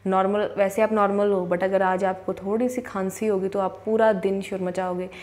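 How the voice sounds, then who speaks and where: A young woman who works as a law of attraction coach, giving a motivational talk to camera in an earnest way and slowly repeating affirmations. She speaks indoors into a lapel mic. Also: medium pitch, little pitch movement, darkish tone. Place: indoors, in front of a plain wall. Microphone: clip-on lapel mic.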